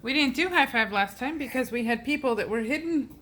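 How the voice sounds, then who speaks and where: Female, high voice, informal family chatter over faint background noise. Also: lively intonation, a little fast, a bit bright. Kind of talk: casual family chat.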